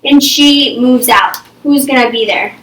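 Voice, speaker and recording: Bright-voiced young woman teacher. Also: high voice, measured pace. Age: young adult. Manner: checks in with "okay?" and "right?" constantly. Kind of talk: classroom think-aloud lesson. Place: classroom with a small group. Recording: echoey room, far from the mic.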